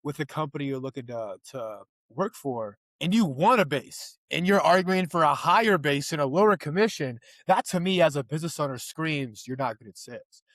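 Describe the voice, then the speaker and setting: A man's voice: a little high, animated, brisk, hardly any pauses, fairly bright tone, dry recording. A man hosting a podcast, chatting in a friendly, affirming, conversational way with his guest. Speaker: podcast host. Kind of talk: casual interview talk.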